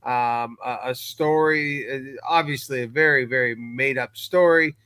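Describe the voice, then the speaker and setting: A man chatting casually as a guest on a recap show, halting and good-humoured, ready to poke fun at himself. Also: medium pitch, steady tone, sharp tone, smooth, no room sound. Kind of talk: casual chat, story recap.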